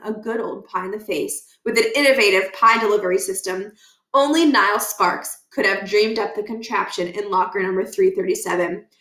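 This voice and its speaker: A woman reading a children's book aloud as an expressive storyteller, with animated, deliberate emphasis. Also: medium pitch. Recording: echoey, roomy sound.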